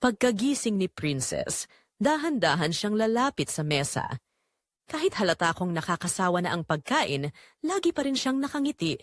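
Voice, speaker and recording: A dry, close recording carries a woman acting as a poor, devoted mother in an audio drama. Her medium-pitched voice moves expressively up and down. She narrates in measured, earnest phrases, confiding like someone weighed down by guilt. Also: big pitch swings, no room sound, close to an everyday voice. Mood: earnest, burdened. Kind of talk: scripted dramatic first-person narration.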